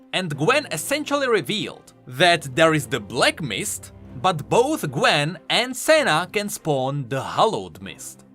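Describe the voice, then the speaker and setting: A man's voice: on the high side, bright, forceful tone. A man giving scripted, explanatory video commentary on game lore, stressing key words emphatically.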